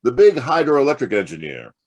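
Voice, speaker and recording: An actor playing a wry, streetwise private detective in a hard-boiled audio drama, with a sardonic, conversational delivery. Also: male voice, medium pitch, wildly swooping pitch, brisk, darkish tone, highs cut off. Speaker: actor narrating and playing the lead.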